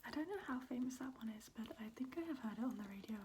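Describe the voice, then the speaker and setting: A woman whispering in a breathy, unvoiced voice, chatting casually and hesitantly in an ASMR style as a video host. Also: on the high side, animated, fast, darkish tone, slight background noise. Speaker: video host showing a record collection.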